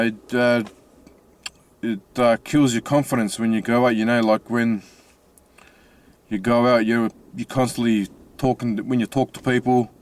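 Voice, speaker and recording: An Australian man with a slightly mixed accent from his Italian family, talking casually from inside his car with many pauses and restarts. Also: medium pitch. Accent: Australian with Italian-family influence. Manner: halting, many fillers and restarts. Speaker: vlogger. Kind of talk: casual personal vlog.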